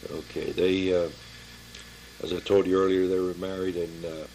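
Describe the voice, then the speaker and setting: Old american guy, real low creaky voice, slowly piecing together memories, kinda halting, plain talk, bit of noise on a home tape. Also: little pitch movement, a little mellow, low-quality recording. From Pittsburgh area, Pennsylvania, United States. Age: 70s or older. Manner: halting, searching his memory, plain-spoken. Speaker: family member recording his life story for a relative. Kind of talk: informal spoken family memoir, reminiscing.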